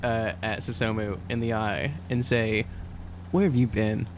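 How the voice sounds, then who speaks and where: A man's voice: fairly even intonation, unhurried. A man playing a tabletop role-playing game, talking casually with playful, teasing banter. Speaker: player on an actual play podcast. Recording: clear background noise, low hum.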